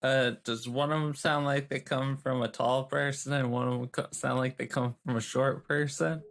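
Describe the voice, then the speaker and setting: A man playing a tabletop role-playing game, talking casually in character in a halting, rambling way. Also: mid-range voice, fairly even intonation, fairly bright tone. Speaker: player.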